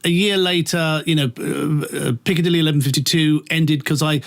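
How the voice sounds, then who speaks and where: A man's voice: fairly high pitch, fairly even intonation, brisk, vocal fry. A middle-aged British man chatting in a camp, theatrical way, telling anecdotes and acting out the dialogue as he goes. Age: middle-aged or older. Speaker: radio presenter, interview guest. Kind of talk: animated anecdotal chat.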